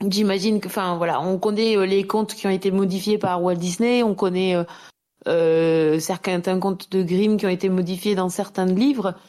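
A woman's voice: medium pitch, steady tone, normal speed, mellow. A woman interviewer asking polite, formal questions about traditional tales. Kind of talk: radio interview.

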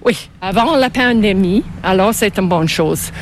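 A woman's voice: medium pitch, a little slow, slight background noise. An older woman from Canada, a native English speaker with a Canadian English accent, chatting casually and searching for words as an interview guest. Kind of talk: casual conversation.

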